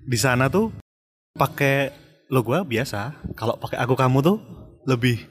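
A man's voice: medium pitch, lively intonation, moderate pace, slightly rough, soft background music. Young man chatting with Jakarta slang. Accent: Jakarta Indonesian. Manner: laughs often, uses Jakarta slang. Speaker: podcast co-host. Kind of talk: casual podcast chat.

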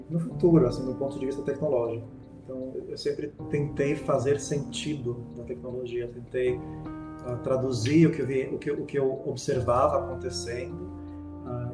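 A middle-aged man from Sweden, a futurist guest, answering thoughtfully with many pauses and restarts. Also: mid-range voice. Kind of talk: conversational interview answer. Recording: roomy sound with music underneath.

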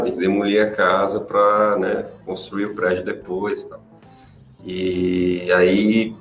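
A man with a fairly low voice tells a story at an easy pace. His voice echoes a little in the room, and faint music plays behind it. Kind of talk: casual podcast storytelling. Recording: roomy sound with faint music.

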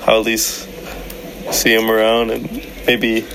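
A young man from Hawaii speaks, giving short, laid-back answers. His voice is fairly low and gravelly with fry. A faint background hum sits behind him. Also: completely flat delivery, slow. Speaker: interviewee.

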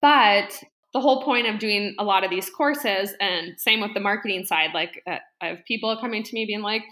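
A woman guesting on a podcast, chatting casually and rambling with lots of fillers and quick laughs. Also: mid-range voice, sharp tone. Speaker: podcast guest, interior design business owner. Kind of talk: casual interview chat.

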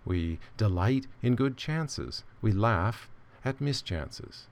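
A man reading a literary essay aloud with measured, deliberate phrasing. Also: lowish voice, animated, vocal fry. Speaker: reader.

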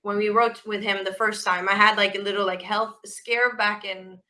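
A woman rock vocalist with a naturally raspy voice, chatting casually in an interview, with lots of fillers and restarts. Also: medium pitch, little pitch movement, normal speed, pushed and bright. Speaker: rock band vocalist, guest.

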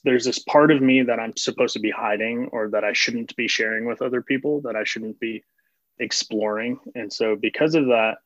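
A man's voice: medium pitch, steady tone, normal speed, a bit bright, no echo. A young man speaking as a panel guest, earnest and open about personal things. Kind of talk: casual reflective discussion. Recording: dull dry recording.

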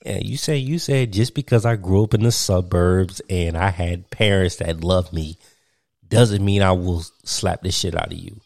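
A man's voice: low voice, moderate pace, creaky, a little mellow, no echo, clean studio sound. A young man from Virginia hosting a casual podcast chat, rambling with lots of fillers and laughing often. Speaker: podcast host.

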